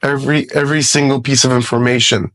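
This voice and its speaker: A man's voice: mid-range voice, steady tone, fast, fairly bright tone. A man in his forties from Lebanon, a guest investor giving blunt, profane, emphatic advice in a casual podcast interview. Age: 40s.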